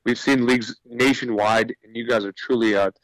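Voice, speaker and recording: A man's voice: medium pitch, steady tone, moderate pace, fairly bright tone, dry recording, rough recording. A man speaking as a guest in a radio interview, explaining his business in an earnest, informal way. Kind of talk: conversational radio interview pitch.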